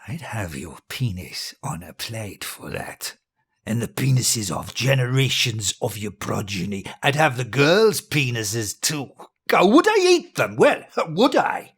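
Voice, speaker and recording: Slow, volatile dying tyrant. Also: male voice, mid-range voice, sing-song, husky, heavily acted. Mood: menacing, then raging, mocking. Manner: theatrical, volatile, slow and deliberate, swinging from hush to bellow. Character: dying, deranged tyrant dictator, cruel, grandiose and childish. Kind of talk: dramatic monologue in an audio drama.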